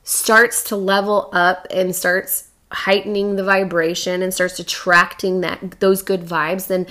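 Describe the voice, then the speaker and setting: Bright, giggly woman with a Chicago accent. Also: fairly low pitch. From born in Louisville, Kentucky. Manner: giggly, animated, quick to laugh. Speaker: podcast co-host. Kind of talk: casual chat.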